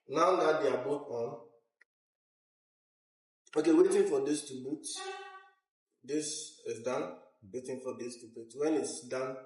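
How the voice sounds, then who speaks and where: A man presenting a hands-on technical tutorial, explaining step by step in a halting way. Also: mid-range voice, fairly bright tone. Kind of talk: hands-on instructional walkthrough. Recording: roomy echo, uneven distance from mic.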